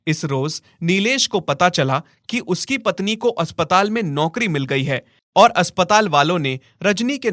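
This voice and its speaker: A man's voice: fairly high pitch, fairly bright tone, no echo, clean studio sound. A man narrating a scripted moral story as a storyteller.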